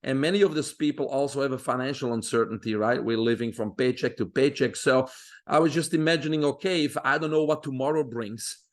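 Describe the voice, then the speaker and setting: A middle-aged man with a Belgian accent speaks at an ordinary, mid-range pitch. He tells his story in a steady, self-assured way and often pauses on a drawn-out "uh" between thoughts. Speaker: guest. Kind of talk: interview storytelling.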